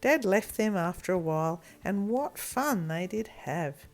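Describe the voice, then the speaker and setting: A woman reading a children's story in an expressive storytelling manner. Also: medium pitch, soft background music. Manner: animated, deliberate storytelling with acted character voices.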